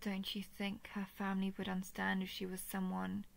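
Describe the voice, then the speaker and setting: A woman's voice: mid-range voice, completely flat delivery, vocal fry. A woman voicing an affectionate, playful and easily flustered female dragon in an intimate audio roleplay. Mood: gentle, coaxing. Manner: tender, teasing, halting when flustered.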